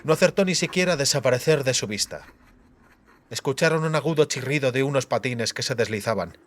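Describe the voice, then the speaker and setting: A man's voice: medium pitch, a little fast, a bit bright. A man narrating a fantasy audiobook in a dramatic, scripted style.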